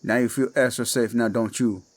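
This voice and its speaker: A man with a Cajun accent playing a menacing male werewolf who poses as a friendly swamp guide, talking in a slow, coaxing drawl in a horror audio drama. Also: mid-range voice, fairly even intonation, lightly acted.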